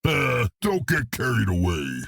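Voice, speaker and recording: A man voicing a hulking, cocky green brute in a video game, gruff and blunt, talking about himself in the third person with a gravelly, husky voice. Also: mid-range voice, wildly swooping pitch, unhurried, a bit bright, full character voice. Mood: gruff banter. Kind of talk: video game character dialogue.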